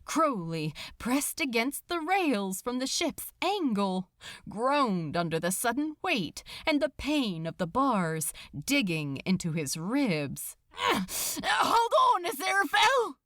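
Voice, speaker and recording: A woman reading a story aloud as dramatic narration, theatrical and suspenseful with deliberate emphasis. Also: mid-range voice, big pitch swings, fairly bright tone, no room sound, clean studio sound. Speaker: podfic narrator.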